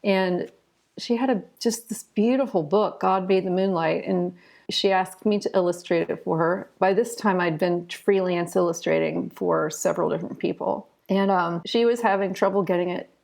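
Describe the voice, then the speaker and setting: A woman's voice: on the low side, normal speed, creaky, a little mellow. A middle-aged American woman answering interview questions in a chatty, informal way, telling stories as she explains. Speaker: interview guest, children's author and illustrator. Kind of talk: conversational interview answers, storytelling.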